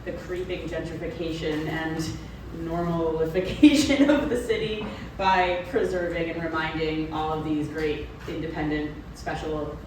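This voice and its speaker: A woman's voice: low-pitched, fairly even intonation, a little slow, background noise. A woman moderating a book talk, asking questions thoughtfully and searching for words. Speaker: moderator, editor. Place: event room. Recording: roomy, distant from the mic.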